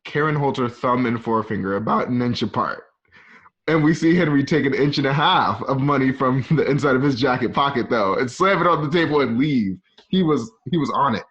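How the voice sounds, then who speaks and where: A man's voice: mid-range voice, expressive, fairly quick, a bit bright, highs cut off. A man narrating a screenplay's stage directions for an audio drama table read, recorded at home.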